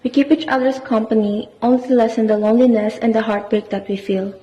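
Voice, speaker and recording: Long reverb and dull sound surround a kindly female doctor played by a woman. Her voice is fairly high and composed, in amateur radio play style. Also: fairly even intonation, fast, lightly acted. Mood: reflective, guarded.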